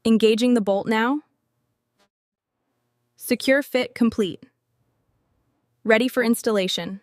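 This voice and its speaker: A woman with a somewhat high-pitched voice reads scripted narration. She presents mechanical restoration work in short, simple statements. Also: slow, no room sound, clean studio sound.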